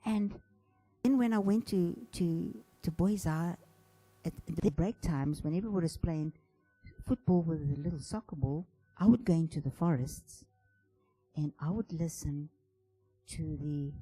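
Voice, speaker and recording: A man, a composer and bass player, tells his personal story in a conference talk, reflective and halting, often dropping to a hushed voice. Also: breathy edge, poor audio. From South Africa.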